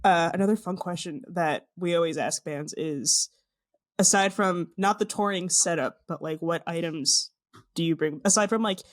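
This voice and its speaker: A woman's voice: lowish voice, normal speed. A woman hosting a casual podcast, chatty and giggly. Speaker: podcast host.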